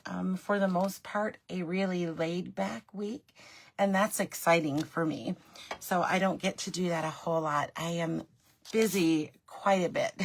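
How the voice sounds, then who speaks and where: A middle-aged woman with a slightly raspy, scratchy voice, chatting as she hosts a live craft tutorial from her home craft room and greeting viewers by name. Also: medium pitch. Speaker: craft demonstrator and host.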